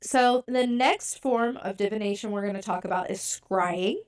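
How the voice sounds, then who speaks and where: A woman co-hosts a podcast in a candid, chatty way. She pokes fun at herself and keeps the talk casual. Her voice sits in an ordinary middle pitch. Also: moderate pace. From born in Europe, raised in the US. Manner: chatty, self-deprecating, candid. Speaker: podcast co-host.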